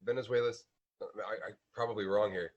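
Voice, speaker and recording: A man hosts a casual interview with a low, easygoing voice. He keeps things relaxed and friendly and fills the pauses with short affirmations while his guest talks. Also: dull audio. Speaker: interview host.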